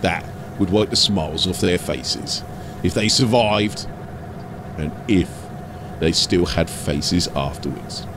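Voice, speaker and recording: A man's voice: low voice, takes it slowly, noisy background. A man giving a scripted, dramatic narration as an audiobook storyteller.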